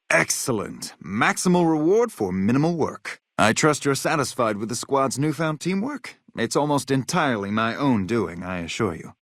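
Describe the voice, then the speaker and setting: A man's voice: medium pitch, slightly rough, no room sound, studio quality. A man voicing an arrogant, lazy genius soldier in a video game, pompous and pedantic in manner. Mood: self-satisfied. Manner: pompous, condescending, pedantic wording. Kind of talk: scripted game character dialogue.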